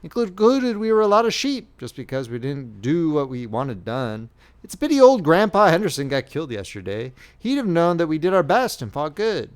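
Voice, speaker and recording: A man's voice: on the high side, sing-song, moderate pace, clean tone. A man voicing a young Civil War soldier who speaks in a rustic, exasperated way. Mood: consoling. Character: young male soldier, the youth, easily riled.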